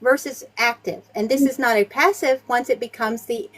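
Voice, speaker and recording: A woman with a fairly high voice questions two debaters on a live stream. She presses a technical grammar point and keeps talking over the others. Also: fairly even intonation, normal speed.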